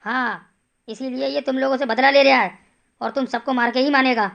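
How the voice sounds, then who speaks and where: A woman voicing a calm, fatherly male holy man who speaks solemnly in an animated horror story. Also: sits high, moderate pace, gravelly, muffled recording.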